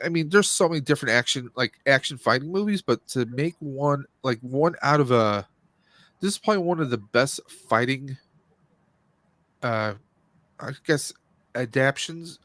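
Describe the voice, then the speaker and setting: A man co-hosting a live stream, giving casual movie commentary in a rambling, halting way as he searches for words. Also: medium pitch, lively intonation, fairly bright tone, dry recording.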